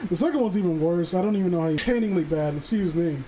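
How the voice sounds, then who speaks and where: A man chatting and reading aloud on a casual livestream, halting and sardonic, with some swearing. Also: high voice, background noise. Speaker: streamer reading stories aloud. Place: voice call livestream.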